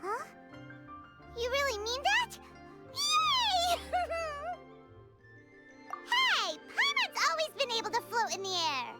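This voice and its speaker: A woman voicing a small, childlike fairy companion in a video game, squeaky, exclamatory and excitable. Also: slow, pauses often, full character voice. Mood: delighted, flustered. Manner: refers to self in third person, exclamatory. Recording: loud game music underneath.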